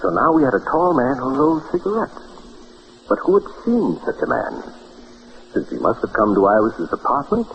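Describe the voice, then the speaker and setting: Self-satisfied detective, theatrical, very animated intonation, rhetorical, vintage radio play, muffled recording. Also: male voice, medium pitch, gravelly. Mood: proud, explaining. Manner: theatrical, rhetorical questions answered by himself. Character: self-satisfied male lawyer-detective, keen to boast. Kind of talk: old-time radio drama acting. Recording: muffled old radio broadcast, faint hiss.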